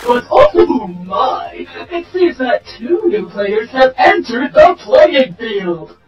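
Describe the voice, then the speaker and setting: Roomy, theatrical announcer supervillain. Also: female voice, on the high side, very animated, slow pace, a little mellow, dull audio. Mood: showy announcing. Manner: showy, taunting. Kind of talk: comic dub villain acting, game-show announcing. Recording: roomy, distant, music behind.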